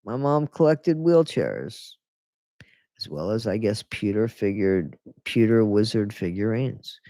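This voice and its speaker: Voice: medium pitch, expressive, a little slow, warm tone, no room sound. A livestream host reading a book aloud to viewers and breaking off for sarcastic, chatty comments, often stopping to reread a line. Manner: sarcastic asides between read passages. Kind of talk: casual live reading with commentary.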